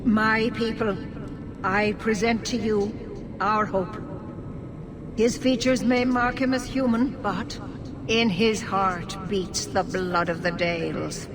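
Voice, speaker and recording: An actress playing a dignified elven clan elder in a video game, with a measured, ceremonial delivery. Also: female voice, mid-range voice, fairly even intonation. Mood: proud. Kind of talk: video game cutscene acting. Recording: long hall reverb, noisy game capture.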